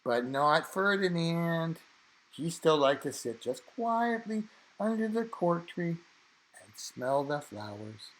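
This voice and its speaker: A man with a somewhat high voice reads a storybook aloud for children. He is expressive, leaning on key words for drama and stretching out phrases as he tells the tale. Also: takes it slowly, rough recording.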